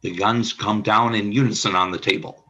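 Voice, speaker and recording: A man's voice: fairly low pitch, steady tone, moderate pace, pushed and bright, lo-fi sound. A man reading scripted scene narration for a radio play, describing the action in the present tense.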